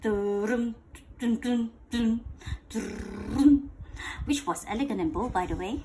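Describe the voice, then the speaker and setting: A woman reading a picture book aloud to a child in a playful, expressive way, drawing out words and asking questions. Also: a little high, takes it slowly, slight background noise. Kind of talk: animated bedtime read-aloud with questions to a child.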